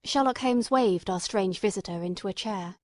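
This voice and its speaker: A woman's voice: mid-range voice, normal speed, no room sound, studio quality. A woman reading scripted literary narration as an audiobook narrator.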